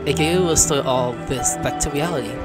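A woman's voice: low voice, quick pace, over loud music. A woman streaming a game, reading choices aloud with playful, crude, chatty commentary. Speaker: gameplay streamer. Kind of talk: casual let's-play commentary, reading dialogue aloud.

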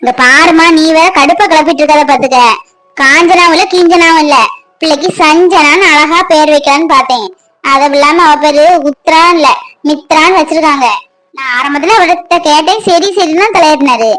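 A woman's voice: sits high, a little fast, a bit bright, a little hiss or hum, rough recording. An actress in her twenties playing a young mother who complains with irritation in a family drama. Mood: irritated. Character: annoyed young mother, new baby. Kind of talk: web serial drama acting.

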